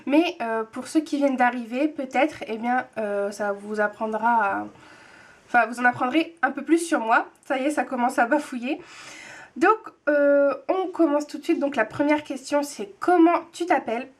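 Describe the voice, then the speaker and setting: A woman's voice: high voice, normal speed. A woman in her twenties chatting casually to camera, hesitant with many fillers and restarts as she answers tag questions. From France. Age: 20s. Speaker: booktube vlogger, nurse.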